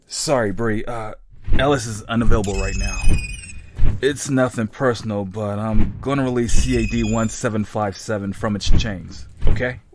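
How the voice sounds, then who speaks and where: A man's voice: fairly low pitch, fairly even intonation, normal speed, noisy background, close to an everyday voice. A man playing a cold, treacherous special instructor in a sci-fi horror audio drama, clipped, commanding and matter-of-fact. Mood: loud, apologetic. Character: cold, treacherous male special instructor, briskly apologetic killer.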